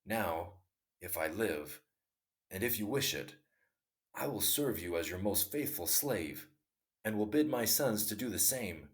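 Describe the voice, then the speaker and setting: A man's voice: fairly low pitch, expressive. A man voicing a wounded male enemy who confesses and begs forgiveness in a read-aloud story. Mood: repentant. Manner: earnest confession, measured storybook reading.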